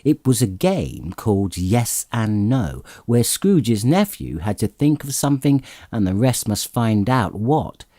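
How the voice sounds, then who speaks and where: A man narrating a classic story as an audiobook, reading in an expressive, theatrical way with dramatic emphasis. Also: lowish voice, measured pace, hardly any pauses, no room sound, clean studio sound.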